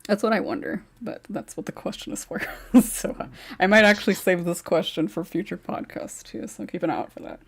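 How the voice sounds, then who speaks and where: A woman's voice: medium pitch, brisk, vocal fry, slightly rough. A woman hosting a casual online panel chat, chatty and laughing between questions. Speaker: podcast host.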